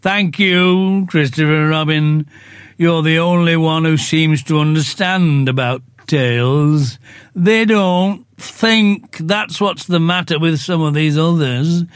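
A man voicing a gloomy old male donkey who speaks slowly and mournfully, full of self-pity. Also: a little high, smooth, dry recording, clean studio sound. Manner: slow, drawn-out, self-pitying.

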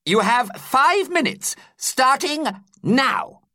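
A man voicing a sweet, fretful old male schoolteacher in a high, squeaky voice for a storybook reading. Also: very animated, slow, pushed and bright, no room sound, clean studio sound, heavily acted. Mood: instructing. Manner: fretful, hesitant.

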